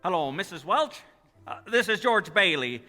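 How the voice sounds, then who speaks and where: An actor playing a frantic young family man in a radio play, with a stammering, excitable delivery. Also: sits high, normal speed, faint music behind, exaggerated voice. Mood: angry. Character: frantic, hot-tempered young family man at breaking point. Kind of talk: 1940s radio play acting. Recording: reverberant hall, live stage taping.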